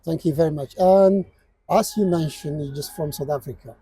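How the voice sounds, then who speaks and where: A man's voice: on the high side, normal speed, dark tone, slightly rough, no room sound. A man interviewing a local candidate outdoors for a community audience, emphatic and hesitant, with fillers between his questions.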